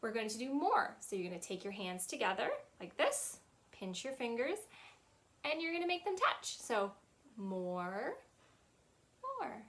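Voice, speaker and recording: Woman, 30s, children's storytime host; high voice, slow sing-song delivery, webcam in a small playroom. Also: very animated, fairly bright tone. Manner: sing-song, teacherly, lots of hand gestures. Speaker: children's librarian. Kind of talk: children's storytime with songs and signing. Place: small playroom with shelves, indoors.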